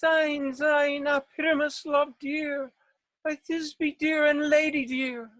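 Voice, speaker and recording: A woman's voice: high-pitched, little pitch movement, slow pace, a bit bright, no room sound. Bombastic amateur-actor weaver, played by a woman, dry close sound. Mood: showing off. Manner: theatrical, declaiming, self-important. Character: Nick Bottom, a bombastic, overeager male weaver and amateur actor. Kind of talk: Shakespeare table read over video call. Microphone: video call, close.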